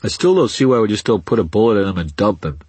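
Guy doing a hot-headed mob goon for an audiobook, harsh and husky, sneery, pushy, dry close sound. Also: on the low side, very animated, quick pace, a little mellow, no echo, pitched above the performer's own voice. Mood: sneering. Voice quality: harsh, not nasal. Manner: sneering, coarse, belligerent. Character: brutish sneering male mobster thug, hot-headed and defiant.

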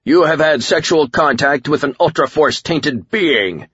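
A man voicing an arrogant, menacing alien warlord in an audiobook, bellowing grandiose, drawn-out pronouncements. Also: mid-range voice, animated, a bit bright, slightly rough, dull audio, pitched above the performer's own voice. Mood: furious accusation.

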